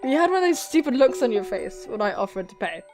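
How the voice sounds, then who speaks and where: A woman's voice: on the high side, sing-song, fast. Casting: guarded, needling young woman for visual novel dialogue, read by a female player, curt delivery over music. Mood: teasing. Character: guarded, sardonic young woman, prickly but secretly insecure.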